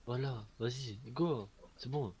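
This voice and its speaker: A man's voice: mid-range voice, takes it slowly, dark tone, slightly rough. A man playing an online game, chatting casually and slipping into improvised roleplay.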